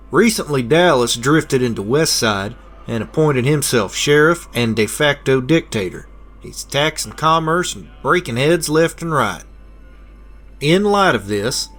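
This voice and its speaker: An actor voicing a matter-of-fact bounty contractor in a video game, giving a measured, dryly blunt mission briefing. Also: male voice, mid-range voice, fairly bright tone.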